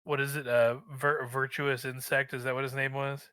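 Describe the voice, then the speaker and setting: A man's voice: mid-range voice, little pitch movement, moderate pace, pushed and bright, smooth, no room sound, studio quality. A man chatting casually as a podcast guest, feeling his way through his thoughts and wandering off on tangents. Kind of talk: casual chat about movies.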